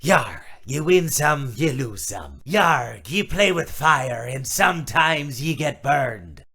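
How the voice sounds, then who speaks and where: A gruff pirate robot from a video game. The voice is gravelly and forceful, rolling along slowly in a thick stage pirate accent. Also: male voice, medium pitch, big pitch swings, exaggerated voice. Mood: gruff, wry. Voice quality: gravelly, raspy. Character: gruff pirate animatronic with a pet bird.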